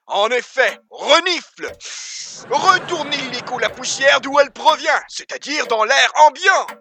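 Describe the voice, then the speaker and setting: A chirpy old-time radio announcer, played by a man with an extremely high, animated voice. He pitches like a salesy 1940s commercial parody, with slight noise behind. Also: exaggerated voice.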